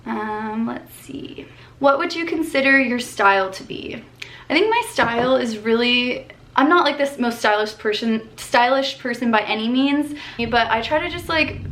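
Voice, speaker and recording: A woman's voice: fairly high pitch, a bit bright, a little hiss or hum. A woman in her twenties from Colorado, chatting casually and upbeat through a Q&A vlog, filled with "like" and "um", recorded in her bedroom on a camera at desk distance. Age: 20s. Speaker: vlogger.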